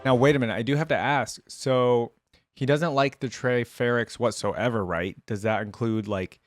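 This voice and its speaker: A man's voice: medium pitch, steady tone, normal speed, no echo, clean studio sound. A man hosting a reaction video, giving casual commentary and musing aloud in a conversational way.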